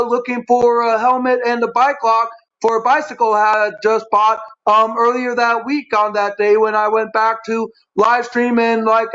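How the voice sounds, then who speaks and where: A man speaking as a talk show guest with a stutter, explaining himself in a halting, repetitive, long-winded way. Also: very high-pitched, fairly bright tone. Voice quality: stuttering speech impairment. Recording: dull, lo-fi stream audio.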